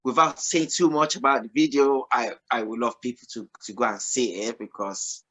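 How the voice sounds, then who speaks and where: Husky-voiced man hosting an interview. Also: mid-range voice, fairly even intonation, normal speed. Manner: rambling, restarts questions, warm laughs. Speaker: radio show host. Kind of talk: casual interview chat.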